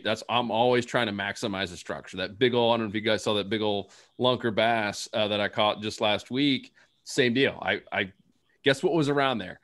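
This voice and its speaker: A man's voice: fairly low pitch, fairly bright tone. A young man hosting a casual fishing panel over a video call, playful and joking as he moves between questions. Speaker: host.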